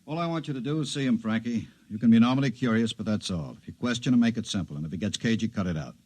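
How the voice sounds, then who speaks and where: An actor playing a no-nonsense agency chief with a clipped, commanding manner in a 1950s radio drama. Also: male voice, on the low side, fast talker, a little mellow, dry recording, close to an everyday voice. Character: brisk, no-nonsense male government agency chief giving orders.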